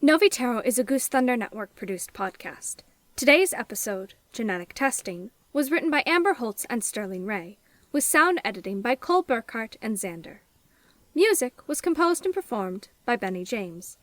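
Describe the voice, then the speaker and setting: A woman's voice: fairly high pitch, sing-song, normal speed, a bit bright, no echo. A woman reading scripted podcast credits as an announcer. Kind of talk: scripted narration.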